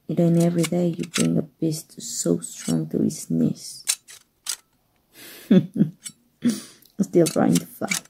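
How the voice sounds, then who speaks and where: A woman from Mexico playing a kind undercover rebel rescuer in an ASMR roleplay, with folksy, hushed speech and a soft, breathy voice. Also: fairly low pitch, a little hiss or hum, full character voice. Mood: taunting. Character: kind human rescuer, gruff-talking, reassuring undercover rebel.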